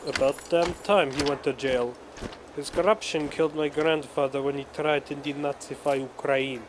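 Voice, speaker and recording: A man's voice: fairly high pitch, fairly even intonation, normal speed, noisy background, close to an everyday voice. A man playing a gloating, vengeful Russian official in an audio drama, with a theatrical delivery. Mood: stern, indignant.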